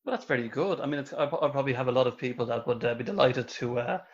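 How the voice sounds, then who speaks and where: A man's voice: mid-range voice, fast talker, slightly rough. Male interviewer, mid-range voice, casual and a little hesitant, wandering questions, somewhat dull recording. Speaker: interview host.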